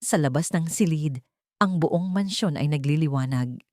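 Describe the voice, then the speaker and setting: A woman's voice: deep voice, normal speed, warm tone, dry recording, clean studio sound. A woman reading a dramatic scripted story as its narrator.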